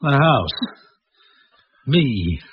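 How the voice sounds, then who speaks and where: A man's voice: mid-range voice, big pitch swings, very slow. An actor playing an earnest, guilt-ridden British man in middle age, brooding and intense, in a radio drama. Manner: brooding, intense, self-questioning. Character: earnest, guilt-ridden, successful working-class-born Englishman. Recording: muffled old radio recording, faint hiss.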